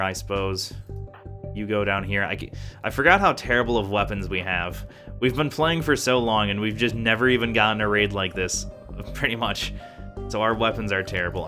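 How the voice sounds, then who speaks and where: A man's voice: lowish voice, fairly quick, bright, forceful tone. Chatty man over game music. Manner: chatty, rambling, thinks aloud. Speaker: let's play gamer. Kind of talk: casual gameplay commentary.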